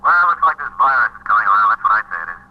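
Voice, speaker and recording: Casting: dry police surgeon, quick and husky, plain-spoken, vintage radio play. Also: male voice, lowish voice, animated. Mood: matter-of-fact. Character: matter-of-fact, dry male police surgeon on telephone. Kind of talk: 1950s radio drama acting. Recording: muffled, husky-sounding 1950s radio recording.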